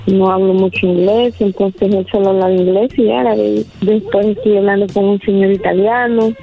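A woman's voice: medium pitch, fairly even intonation, moderate pace, gravelly. A Salvadoran woman with a Salvadoran accent, polite and friendly, answering an unexpected phone call. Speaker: call recipient. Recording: phone call, dull sound, music under.

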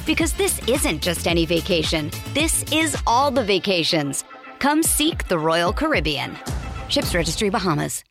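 A woman's voice: on the low side, moderate pace. A woman reading scripted commercial narration in an upbeat voice. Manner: upbeat and lively. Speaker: ad voice-over. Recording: voice-over with music bed.

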